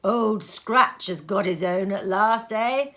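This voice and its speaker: A woman voicing wealthy, important businessmen in a storybook reading, brisk, genteel and offhand. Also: mid-range voice, bright, forceful tone. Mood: brisk, indifferent. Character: wealthy, important businessmen exchanging clipped, polite small talk.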